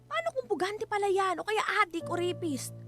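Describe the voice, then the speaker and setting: A woman's voice: very high-pitched, animated, a little fast, fairly bright tone, faint music behind. A woman acting a wary, fretful wife in a Filipino radio drama. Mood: suspicious, agitated. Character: wary, fretful wife Thelma, anxious about strangers.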